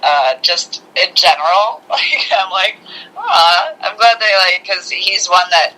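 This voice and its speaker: A woman co-hosting a podcast over an internet call, chatting about a film in an informal, halting way. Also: on the low side, animated, sharp tone, rough recording. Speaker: podcast co-host. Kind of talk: casual film review chat. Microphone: remote internet call.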